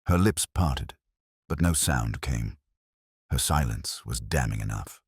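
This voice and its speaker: A man narrating a detective story in a dramatic, husky voice. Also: extremely low pitch, lively intonation, slow, no room sound, clean studio sound. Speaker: audiobook narrator.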